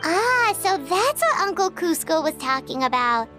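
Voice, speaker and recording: A woman's voice: very high voice, very animated, measured pace, bright, forceful tone, faint music behind, exaggerated voice. A woman voicing a small, caring and innocent child in a video game, asking things earnestly and with worry. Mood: curious. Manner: earnest, inquisitive. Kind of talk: video game dialogue acting.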